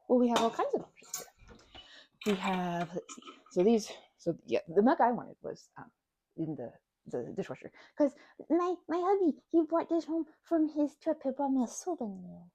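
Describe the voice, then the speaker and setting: A woman chatting casually to the listener as a best friend in an ASMR roleplay, bubbly and expressive, rambling and changing course mid-thought. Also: sits high, slight background noise.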